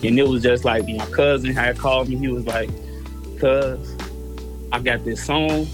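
A young man with a Chicago accent, speaking at a middling pitch in an easygoing, halting way. He falls back on casual slang and trails off mid-thought. Faint music plays under his voice. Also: steady tone. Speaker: rapper guest.

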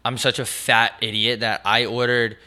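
Raspy young man, crude banter. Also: medium pitch, little pitch movement, normal speed, bright, forceful tone. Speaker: podcast co-host. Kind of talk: casual chat.

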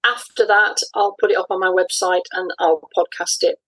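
A woman's voice: lowish voice, level tone, moderate pace, a bit bright, breathy edge, low-quality recording. A woman speaking informally as a guest writer in an interview.

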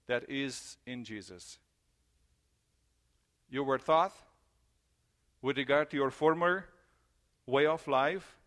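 A man preaching a sermon in English, his second language, earnest and repeating key phrases, slowing down for emphasis. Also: a little high, a bit bright. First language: not English. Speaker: pastor.

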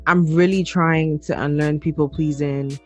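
A young woman from Ghana co-hosting a podcast, talking in a relaxed, chatty way with plenty of fillers. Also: deep voice, moderate pace, faint music behind. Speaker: podcast co-host. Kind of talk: casual chat.

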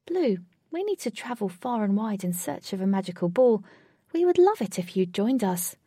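A woman's voice: medium pitch, very animated, normal speed, warm tone, breathy edge, no room sound, clean studio sound. A woman voicing a kind, doting young female adventurer in a storybook, tender and coaxing. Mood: affectionate. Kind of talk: storybook character voice.

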